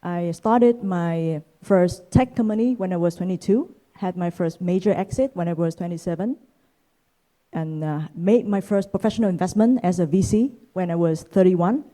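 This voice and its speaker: A woman in her thirties from Vietnam delivers a keynote from a stage. Her voice sits low and sounds dark and mellow. She speaks in a measured way, pausing on "uh" fillers between points. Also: rough recording. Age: 30s. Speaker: keynote speaker, venture capitalist. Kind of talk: conference keynote talk. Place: conference stage.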